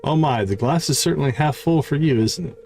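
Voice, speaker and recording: A man's voice: a little high, brisk, creaky, darkish tone, a little hiss or hum. A man voicing a prim, fussy, well-bred lady florist from a video game, with formal, old-fashioned and haughty phrasing. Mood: politely proper.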